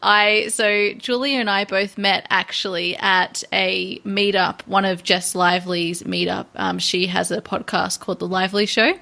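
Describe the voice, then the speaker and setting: A woman's voice: medium pitch, steady tone, unhurried, gravelly, few pauses, bright, forceful tone. A young woman from Sydney with an Australian accent, hosting a podcast in a casual conversational style. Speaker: podcast host.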